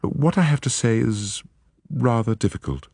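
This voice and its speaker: A man's voice: mid-range voice, lively intonation, normal speed, vocal fry, darkish tone, husky, dry recording, clean studio sound, voice pushed up. A man voicing a nervous aristocratic lady who stammers and sounds breathless.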